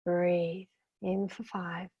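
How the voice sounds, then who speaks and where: A woman guiding a meditation as a teacher, speaking soothingly and gently in short phrases with long pauses. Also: on the low side, level tone, slightly rough, muffled recording. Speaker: guided meditation teacher and intuitive therapist. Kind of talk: guided meditation, slow calming instruction.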